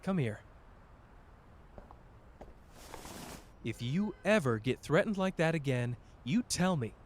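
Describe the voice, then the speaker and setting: Man acting an intense, betrayed young sorcerer, animated pitch. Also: normal speed, a little hiss or hum. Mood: resolute, protective. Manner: emotional, pleading, swearing, addressing the listener intimately. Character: betrayed, fierce, protective young male sorcerer in love. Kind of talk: dramatic ASMR character roleplay.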